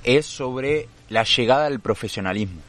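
A man with an Argentine accent in casual sports chat, relaxed and trailing off with fillers. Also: medium pitch, normal speed. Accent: Argentine Spanish.

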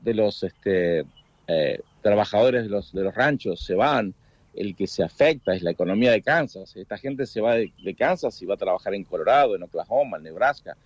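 An Argentine man with a low voice and an Argentine accent speaks as a guest over a call. He talks in halting bursts, restarting and repeating words as he goes. The audio is dull and lo-fi, with a dry, close sound and no room echo. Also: expressive. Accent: Argentine Spanish. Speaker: guest, food business owner in the US. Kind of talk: casual interview chat.

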